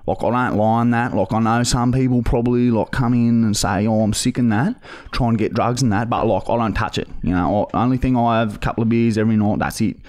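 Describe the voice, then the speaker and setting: A man playing a dodgy, pushy Australian bogan on a prank phone call, talking in halting, repetitive slang. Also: medium pitch, a little mellow, lightly acted. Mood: defensive, rambling. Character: dodgy, pushy young male bogan pestering for painkillers. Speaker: prank caller, podcast host. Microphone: close studio mic on boom arm.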